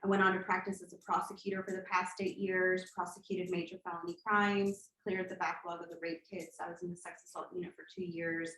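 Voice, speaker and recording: A woman's voice: lowish voice, flat delivery, fairly quick, hardly any pauses, bright, forceful tone. A woman in her thirties, from Colombia, giving an earnest campaign speech at a community meeting, with frequent filler words. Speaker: political candidate. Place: church meeting hall. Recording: echoey hall.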